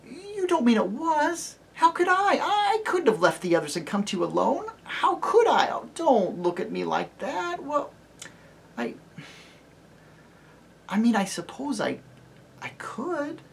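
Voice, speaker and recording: A reader voicing an earnest, eager young girl who speaks in a high, breathless, pleading way. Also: sing-song, a little slow, creaky, breathy edge, full character voice.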